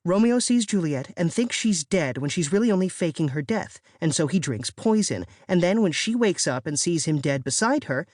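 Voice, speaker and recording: Expressive audiobook narrator, dry studio sound. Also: mid-range voice, moderate pace, few pauses, dry recording.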